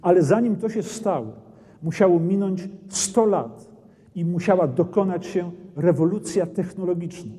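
Middle-aged male presenter, explanatory, mellow but husky, long hall reverb. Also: high-pitched, moderate pace. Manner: explanatory, points at armour as he speaks. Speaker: documentary presenter. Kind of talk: presenting a history documentary. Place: dim brick castle armoury, indoors. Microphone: lapel mic.

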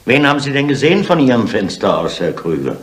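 An actor playing a firm, probing police inspector who presses deliberately in a seventies radio crime drama. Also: male voice, medium pitch, big pitch swings, breathy edge. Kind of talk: 1970s German radio crime drama acting. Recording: old radio recording with faint noise.

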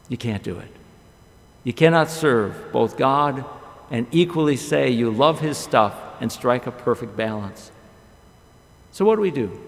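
Gravelly-voiced pastor preaching in a chapel. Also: male voice, fairly high pitch. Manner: animated storytelling with wide gestures and rhetorical questions. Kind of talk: chapel sermon. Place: large chapel with long reverb. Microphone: podium microphone. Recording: echoey chapel hall.

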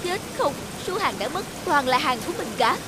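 A woman's voice: high-pitched, lively intonation, brisk, heavy background noise. A woman playing a selfish, calculating male rice trader who mutters to himself in a cartoon fable. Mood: dejected. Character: scheming, selfish male rice trader, self-pitying when beaten.

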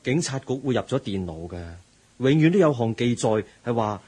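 Radio play: a kindly, earnest teacher, Hong Kong Cantonese, husky voice with animated lilt. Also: male voice, medium pitch, normal speed. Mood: lecturing. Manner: lecturing, earnest. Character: patient middle-aged teacher, moralizing and kind.

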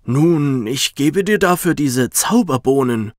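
A coaxing old man from a storybook, put on by a narrator, a man. His pitch swings widely as he makes his offer, in a dry recording. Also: animated, slow, a little mellow, no echo.

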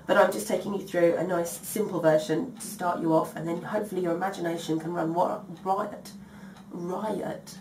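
A woman's voice: lowish voice, fairly even intonation, normal speed, a little mellow, a little hiss or hum. Woman with a cold-strained voice, hosting. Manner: chatty, many ums, apologetic. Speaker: sewing tutorial host. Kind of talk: casual craft tutorial. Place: home dining room by garden windows. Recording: echoey room, slightly distant mic.

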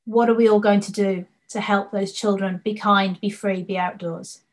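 A woman's voice: mid-range voice, fairly even intonation, moderate pace. A woman giving an enthusiastic presentation over slides on a video call, telling stories and letting her voice drop away at the ends of points. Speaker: panel speaker.

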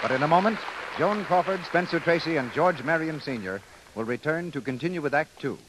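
A man's voice: fairly high pitch, expressive, a little fast, very noisy. An American man announcing from a script in polished 1930s radio style.

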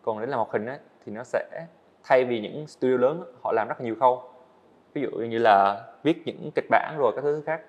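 A man's voice: mid-range voice, normal speed, a little mellow. A young man, a guest animator, earnestly explaining his work step by step in a casual interview on a studio set.

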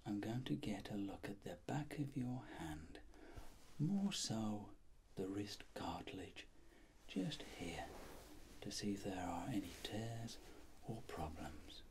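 A middle-aged man plays a reassuring doctor in an ASMR role-play. His voice is soft and breathy, mostly whispered, rising and falling noticeably as he goes very slowly and deliberately through each step. There is faint background noise behind him. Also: fairly low pitch, expressive. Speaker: ASMR performer playing a doctor examining a patient.

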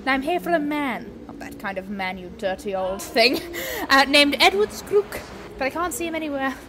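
A woman's voice: sits high, expressive, moderate pace, fairly bright tone, a little hiss or hum. A woman playing a bawdy, teasing Christmas spirit as a gushing, theatrical pantomime dame. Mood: cheeky, playful. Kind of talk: pantomime audience banter.

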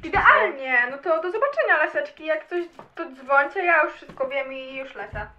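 A bubbly, easygoing young woman played by a female student in a radio play. Her very high voice chats in slang on a slightly dull, clicky recording. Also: very animated, moderate pace, sharp tone, exaggerated voice. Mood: cheerful, easygoing. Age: young adult. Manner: slangy, chatty. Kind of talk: amateur student radio drama acting.

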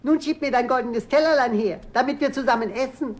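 Casting: fairy-tale frog, slightly high voice, deliberate, insistent, demanding. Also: female voice, darkish tone. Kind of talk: fairy-tale radio play acting.

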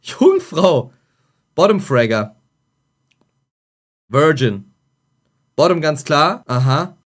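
High lively young man with slang. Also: slow. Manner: loud, blunt, slangy and teasing. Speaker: streamer reacting to a video. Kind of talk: casual reaction commentary. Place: bedroom streaming room, indoors.

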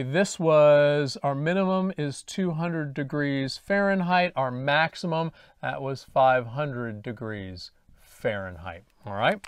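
Male instructor, 50s, slightly high voice, dry close lapel sound, emphatic step-by-step explainer. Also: no room sound. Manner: deliberate, emphatic teaching with rhetorical check-in questions. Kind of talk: explanatory lecture to camera. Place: indoor studio with dark backdrop and lightboard. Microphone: lapel mic.

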